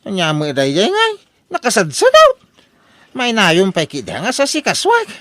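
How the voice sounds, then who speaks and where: A woman's voice: medium pitch, huge pitch swings, normal speed, fairly bright tone, no room sound. Woman acting an exasperated, swooping scold. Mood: complaining. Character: exasperated scolding householder, fed up with laziness. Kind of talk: Ilocano comedy radio drama acting.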